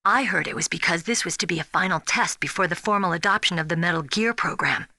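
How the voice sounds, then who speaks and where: An actress playing a spirited, earnest young rookie soldier in a video game, speaking in a frank, expressive way. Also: female voice, lowish voice, fast talker, no echo, studio quality. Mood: confiding, frank. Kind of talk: dramatic video game voice acting.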